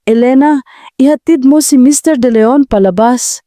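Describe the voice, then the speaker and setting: A woman reading as a hurt woman boss in her forties, her words clipped and icy, her anger sharp. The tone is mellow and soft-edged. The sound is dry and close, with no room in it. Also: high voice, moderate pace, dry recording, voice pushed up, lightly acted. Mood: angry. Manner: cold and clipped, sharp when angry. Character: cold, wounded 42-year-old woman boss.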